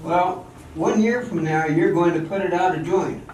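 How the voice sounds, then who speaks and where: A man's voice: high-pitched, normal speed, crowd noise, lightly acted. A man playing an exacting, cocksure professional dancer in a theatrical amateur radio drama, with a commanding, instructive manner. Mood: confident, persuasive. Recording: echoey hall, distant mic, music behind.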